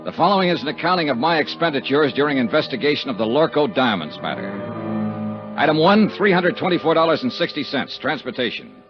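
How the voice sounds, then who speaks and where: A man's voice: on the high side, big pitch swings, fast talker, over music. A man acting as a wry, hard-boiled insurance investigator in a 1950s radio drama, with clipped wisecracking delivery. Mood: dry narration. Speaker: lead actor and narrator of a radio detective serial. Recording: dull 1950s radio recording.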